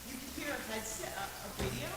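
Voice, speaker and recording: A woman's voice: high voice, expressive, fast, a bit bright, soft background music. A woman speaking live into a podium microphone during a sound check, on a hissy live stream. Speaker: bema team member testing microphones. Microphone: podium microphones, sometimes speaking off mic. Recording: hissy live stream, sometimes distant from mic.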